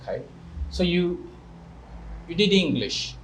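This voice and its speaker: A man's voice: high-pitched, very animated, takes it slowly, fairly bright tone, a little hiss or hum, poor audio. A man interviewing his guest, who presses him with repeated, probing questions. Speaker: interviewer, English teacher.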